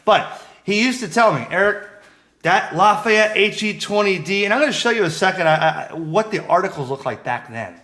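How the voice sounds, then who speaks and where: Excitable, bright-voiced man chatting. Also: high-pitched, moderate pace. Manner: excitable, repeats himself. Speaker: ham radio vlogger, host. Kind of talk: casual hobby chat to camera.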